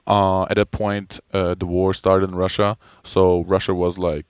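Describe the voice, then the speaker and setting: Young German-accented athlete, low and smooth, dry close sound, laid-back answers. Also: male voice, unhurried, no room sound. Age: young adult. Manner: relaxed, hesitant, many fillers. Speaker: college basketball player. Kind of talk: interview answers. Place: arena media day.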